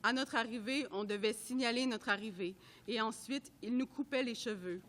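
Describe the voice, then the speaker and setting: A woman reading a report aloud at a public event, in a measured, careful way that sometimes trips over names. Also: fairly high pitch, a bit bright. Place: art gallery at a live event.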